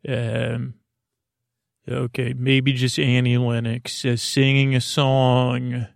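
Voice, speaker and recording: A man hosting a bedtime podcast, rambling haltingly through whimsical, improvised asides and stumbling over his words in a creaky voice. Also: mid-range voice, monotone, dry recording, clean studio sound. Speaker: podcast host. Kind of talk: rambling improvised bedtime monologue to help listeners fall asleep.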